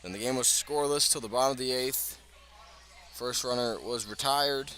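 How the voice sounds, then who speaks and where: A man's voice: medium pitch, moderate pace, sharp tone. A man doing live radio baseball play-by-play in short, clipped phrases. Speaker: sports play-by-play announcer.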